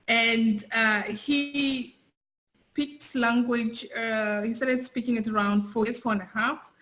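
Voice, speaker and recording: A woman's voice: on the high side, steady tone, slow pace, fairly bright tone, rough recording. Middle-aged african woman, swahili first language, heartfelt interview talk, keeps repeating words. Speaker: guest, parent. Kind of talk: casual interview chat.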